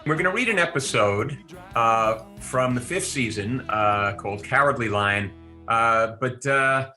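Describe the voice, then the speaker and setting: A man presenting a table read and reading stage directions, informal and somewhat halting. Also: medium pitch, sharp tone, faint music behind. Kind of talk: live event introduction and stage-direction reading.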